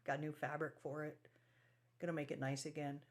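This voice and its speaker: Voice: mid-range voice, flat delivery, normal speed. A speaker casually narrating a hands-on restoration project as the host, with folksy, colloquial phrasing.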